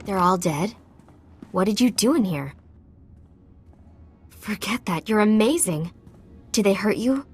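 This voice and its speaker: An actress playing an earnest, resourceful informant in a video game, speaking in short, clipped sentences. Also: female voice, medium pitch, lively intonation, measured pace, pauses often, soft background music, natural voice. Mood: relieved, awkward.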